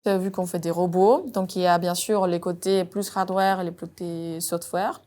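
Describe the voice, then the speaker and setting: Woman, hesitant measured French. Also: fairly low pitch, steady tone, darkish tone. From Colombia. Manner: measured, frequent "euh" hesitations. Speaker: robotics R&D engineer. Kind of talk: job interview-style monologue.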